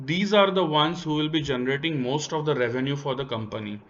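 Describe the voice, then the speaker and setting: A man's voice: on the high side, quick pace, fairly bright tone. Indian-accented male analyst, muffled recording. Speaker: stock analysis presenter. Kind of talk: semi-scripted slide commentary. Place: voice-over narration over slides. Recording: dull, lo-fi voice recording.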